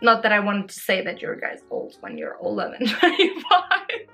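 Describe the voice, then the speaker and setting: A woman's voice: mid-range voice, very animated, normal speed, pushed and bright, soft background music. A woman, chatting casually and expressively in a bubbly way as she answers questions for a vlog. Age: early 20s. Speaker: social media creator answering follower questions.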